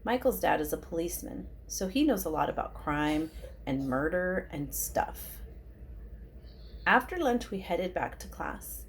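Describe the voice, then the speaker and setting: A woman reading a children's storybook aloud in an expressive style. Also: fairly low pitch, unhurried, slight background noise. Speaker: storybook reader.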